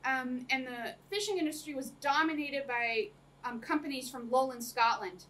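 A woman's voice: high voice, normal speed, a bit bright. A young woman giving an academic talk to a small audience, chatty, with many ums and frequent laughs. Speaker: lecturer. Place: small room with projector screen. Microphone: camera across the room. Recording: distant room mic, faint noise.

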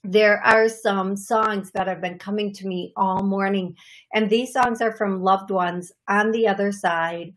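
A middle-aged woman with a raspy voice from allergies, hosting her psychic medium show in a chatty, apologetic way from her living room. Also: medium pitch, steady tone, measured pace, a bit bright. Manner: chatty, apologetic, laughs often, gestures with hands. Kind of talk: solo livestream talk to viewers. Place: living room, seated on leather couch.

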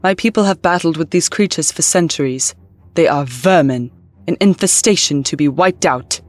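A woman's voice: sits low, no room sound, close to an everyday voice. A woman playing a scheming alien empress. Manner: formal, measured.

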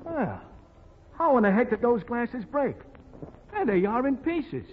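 An actor playing a weary, grumbling bartender in a 1940s radio drama. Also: male voice, very high voice, huge pitch swings, unhurried, slight background noise, exaggerated voice. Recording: echoey, muffled old radio recording.

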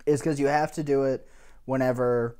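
Easygoing young podcast host. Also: male voice, medium pitch, fairly even intonation, moderate pace, mellow, smooth. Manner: easygoing, lots of "like" and "yeah". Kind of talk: casual podcast chat.

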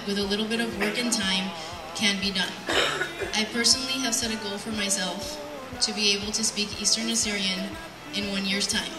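A young adult woman giving an earnest, measured prepared speech at a podium in an event hall, reading from notes. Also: mid-range voice. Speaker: speaker at a community event. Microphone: handheld mic on PA, picked up by distant camera. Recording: roomy, distant sound with music.

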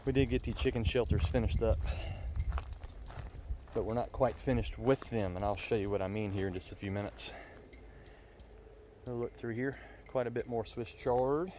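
A man's voice: medium pitch, moderate pace, mellow. A man giving an informal, folksy farm tour as a farmer, speaking in a matter-of-fact way into a handheld phone. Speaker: farmer and vlogger. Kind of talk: casual farm tour vlog. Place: outdoors on a farm, windy. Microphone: handheld phone, glove over microphone against wind. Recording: windy outdoor handheld phone recording.

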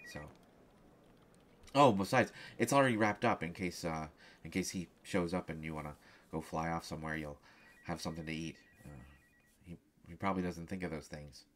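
A man playing a flustered, lovestruck young journalist in an audio roleplay, speaking in halting, hesitant stammers. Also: sits low, lightly acted. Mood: nervous, evasive. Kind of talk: one-sided audio roleplay acting.

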